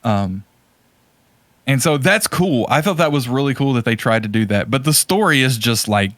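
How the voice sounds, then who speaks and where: A man's voice: medium pitch, animated, normal speed, fairly bright tone, dry recording. A man co-hosting a podcast in casual chat, rambling and often hesitating and correcting himself as he goes. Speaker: podcast co-host.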